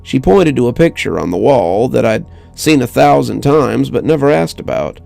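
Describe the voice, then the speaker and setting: Gravelly male horror story narrator. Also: medium pitch, expressive, normal speed, mellow, dry recording. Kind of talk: scripted first-person narration. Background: music under the voice.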